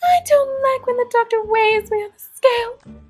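A woman's voice: extremely high pitch, normal speed, faint music behind, voice pushed up. A woman voicing a worried female clam in a children's storybook.

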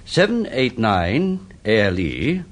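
A man with an English Received Pronunciation accent acts a brisk, businesslike senior police chief in a radio drama. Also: fairly low pitch, wildly swooping pitch, full character voice. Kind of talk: British radio detective drama acting.

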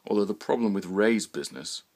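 Low-voiced British man reading a story. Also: animated, fairly quick, darkish tone. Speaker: author reading own short story. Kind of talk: scripted literary narration.

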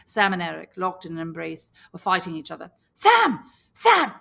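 Audiobook reader: woman, slightly low pitch with noticeable vocal fry, expressive teacherly storytelling. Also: fast talker. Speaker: English teacher reading a novel. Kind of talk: audiobook narration.

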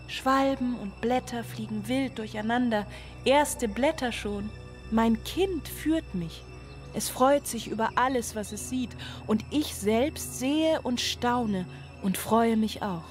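An actress playing a strained, dreamily euphoric single mother in a radio drama, speaking in poetic, incantatory repetitions. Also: fairly high pitch. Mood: elated, dreamy. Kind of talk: German radio drama monologue. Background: music.

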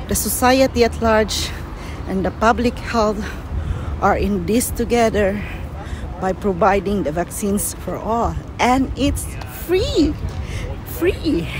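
A young woman whose first language is Filipino, filming a walking vlog on a phone and talking earnestly, repeating words for emphasis. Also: mid-range voice. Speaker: vlogger. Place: outdoors on a busy city waterfront plaza. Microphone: handheld phone camera, speaker off screen. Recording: outdoor phone recording with street noise.

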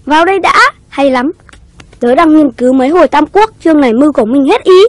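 A woman's voice: high-pitched, expressive, quick pace, no echo. A woman voicing a boastful, hot-headed young boy in a cartoon, speaking emphatically. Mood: gleeful. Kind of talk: cartoon voice acting. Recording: music behind cartoon dialogue.

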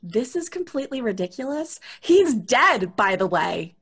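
An actress playing an indignant, hot-tempered young twin sister in an audio horror drama, demanding and exasperated. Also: female voice, fairly high pitch, sing-song, normal speed, fairly bright tone, slightly rough, heavily acted. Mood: angry, exasperated. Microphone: video call.

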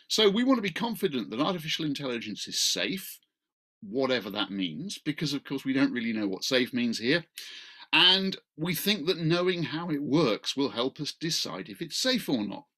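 A man's voice: medium pitch, sharp tone. A middle-aged man, speaking informally and wryly as he introduces an online talk from a video call. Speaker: event chair, law professor. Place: small book-lined room at home, indoors. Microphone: video call, computer microphone.

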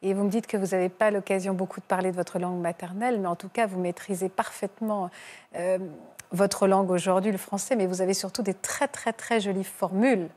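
Woman TV talk host, gentle and measured, studio set, lapel mic. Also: medium pitch, steady tone. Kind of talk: TV talk show hosting.

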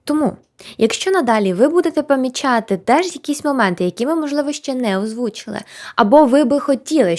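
A woman teaching a language lesson in an animated, chatty, encouraging way with emphatic repetition. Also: fairly high pitch. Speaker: Korean language teacher and video host. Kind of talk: conversational language lesson to camera.

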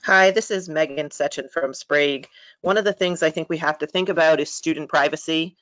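A woman's voice: low-pitched, a little fast, fairly bright tone, dry recording. A woman raises concerns in a meeting discussion. Her voice comes through a video call with a dry, slightly dull lo-fi sound.

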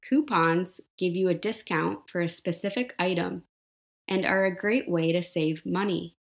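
A woman narrating a scripted life skills lesson, explaining each step slowly and simply and asking the viewer questions. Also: fairly low pitch, creaky.

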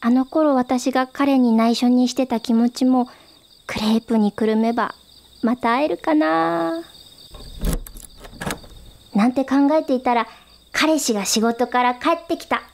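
A woman's voice: high voice, a little slow, vocal fry, pauses often, slight background noise. An actress playing a wistful young woman, telling her memories reflectively as radio drama narration. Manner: reflective storytelling.

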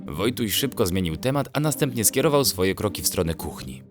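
A man's voice: on the low side, lively intonation, fairly quick. A man reading a scripted children's bedtime story as its narrator. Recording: music under the voice. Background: music.

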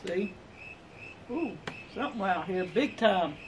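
A middle-aged woman with a Southern US accent, chatting excitedly while fishing from a pond dock, recorded outdoors on a camera. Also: medium pitch, slow, slight background noise. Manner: chatty, excited running commentary. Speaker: homestead channel host. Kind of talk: casual chat while fishing.

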